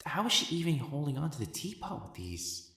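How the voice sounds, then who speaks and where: A man's voice: fairly high pitch, sing-song, brisk, creaky, sharp tone, husky, dry recording, studio quality, exaggerated voice. A man voicing an elegant, effeminate male matchmaker in a scripted audio roleplay, prim and formal, haughty yet easily flustered. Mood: smitten musing.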